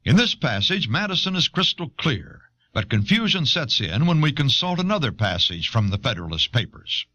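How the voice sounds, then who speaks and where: A man reading scripted documentary narration in a measured, explanatory manner, on an old cassette recording. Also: medium pitch, sing-song, bright, forceful tone, muffled recording. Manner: measured, expository.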